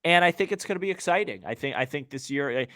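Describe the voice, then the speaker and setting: A man's voice: on the high side, lively intonation, quick pace, fairly bright tone, dry recording, studio quality. A man co-hosting a sports podcast in casual talk, with choppy, conversational phrasing that often repeats words.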